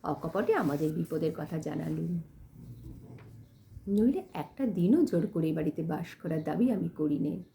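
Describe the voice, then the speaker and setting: A woman voicing a poor, dignified young village woman who speaks softly and politely in an audio storybook. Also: sits low, expressive, measured pace, vocal fry, slight background noise, full character voice. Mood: humble, sorrowful. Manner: soft, polite, pleading but composed. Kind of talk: acted dialogue in audio storybook.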